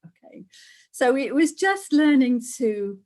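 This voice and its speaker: A woman's voice: sits high, big pitch swings, slow pace, a little mellow. A middle-aged woman from Wales, a guest who chats casually, laughs often and acts out the voices she quotes. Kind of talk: casual conversational interview.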